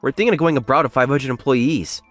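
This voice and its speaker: A man's voice: medium pitch, fast, dry recording, faint music behind, close to an everyday voice. A man playing a modest, plain-spoken young factory worker who narrates his own story in a manga dub. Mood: polite, earnest. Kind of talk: English manga dub acting and first-person narration.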